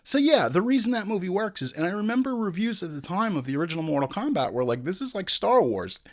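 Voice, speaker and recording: A man's voice: high voice, sing-song, fast talker. A man hosting a film commentary show, irreverent and sweary, drifting into comic tangents and acted-out asides. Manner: irreverent, sweary, digressive, mimics people in asides.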